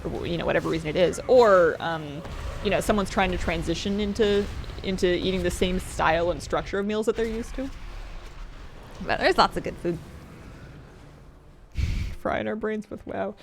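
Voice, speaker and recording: A woman in her thirties chatting casually while she plays a game, rambling and halting with restarts and soft laughs. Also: on the low side, gravelly, a little hiss or hum. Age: 30s. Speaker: streamer. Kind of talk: casual chat while gaming.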